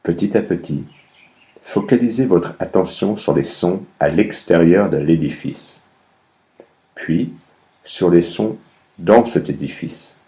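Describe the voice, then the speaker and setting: A man with an extremely low, dark voice leads a guided yoga nidra session. He gives calm, measured instructions at a very slow pace, leaving long pauses between phrases.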